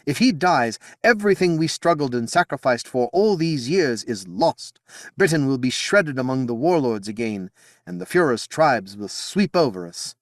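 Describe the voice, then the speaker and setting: A man's voice: medium pitch, lively intonation, normal speed, darkish tone, clean tone, no echo, clean studio sound, voice pushed up, close to an everyday voice. A man voicing a proud fairy queen and worried mother, speaking in a commanding, stern way in an audiobook reading. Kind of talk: audiobook character voice.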